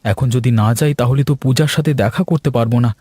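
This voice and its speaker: A man's voice: medium pitch, fast talker, warm tone, no echo, natural voice. A man voicing an eager young son in a cartoon, pleading with his father.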